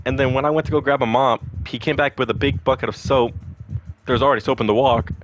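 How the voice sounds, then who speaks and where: A man's voice: mid-range voice, a little hiss or hum, low-quality recording. A young man venting about his boss in a rambling, profane storytime, talking to a camera at home. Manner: profane, rambling, animated retelling. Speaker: vlogger.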